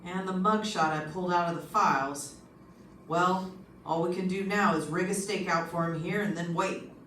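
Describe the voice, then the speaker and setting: An actor playing a brisk, matter-of-fact police lieutenant in an old-time radio detective drama. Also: medium pitch, fairly even intonation, fairly bright tone, natural voice. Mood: investigating. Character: businesslike police homicide lieutenant. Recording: echoey, distant, noisy room.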